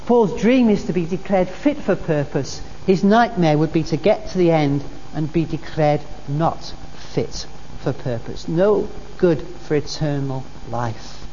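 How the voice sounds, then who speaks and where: A woman's voice: low-pitched, unhurried, few pauses, dark tone. A woman preacher delivering a sermon, earnest and repeating her key phrases for emphasis. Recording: dull recording in a reverberant hall with faint noise.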